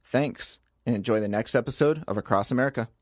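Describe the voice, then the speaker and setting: A man's voice: medium pitch, fast talker, darkish tone, faint music behind. A man co-hosting a comedy podcast in casual banter, playfully sarcastic and often feigning confusion or grievance. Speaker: podcast co-host.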